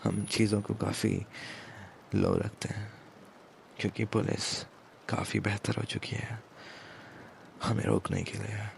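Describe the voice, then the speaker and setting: A man voicing a smug, possessive mafia boss in an intimate ASMR audio roleplay, with a gravelly, halting murmur and menacing endearments. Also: on the low side, little pitch movement, slight background noise, exaggerated voice.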